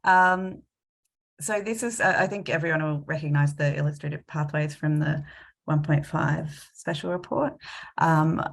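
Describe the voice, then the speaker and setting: Low, gravelly woman with a cold. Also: steady tone, measured pace. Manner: hesitant, many ums and restarts. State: sniffly from a cold. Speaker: climate consultant presenting a talk. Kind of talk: conference presentation with slides.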